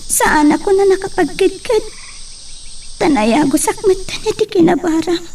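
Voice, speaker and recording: A woman playing a shy young student in love, who speaks hesitantly and softly. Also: very high voice, moderate pace, far from the mic, slight background noise. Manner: hesitant, soft-spoken. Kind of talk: melodrama acting.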